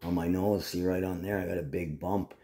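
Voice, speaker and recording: A man's voice: low voice, fairly even intonation, brisk, dark tone. A man talking casually to the camera in a rambling, agitated monologue full of swearing.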